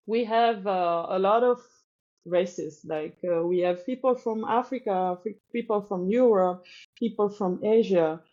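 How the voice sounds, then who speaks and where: Slow-spoken woman with a Congolese French accent. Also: fairly high pitch, darkish tone, muffled recording. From Democratic Republic of Congo. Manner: thoughtful, halting with many restarts. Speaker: teacher guest. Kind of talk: podcast interview.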